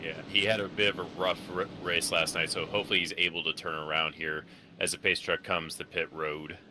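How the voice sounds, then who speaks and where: A man giving color commentary on a virtual truck race in a relaxed, easygoing way. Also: sits low, a little fast, noisy background. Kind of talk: live sim racing analysis.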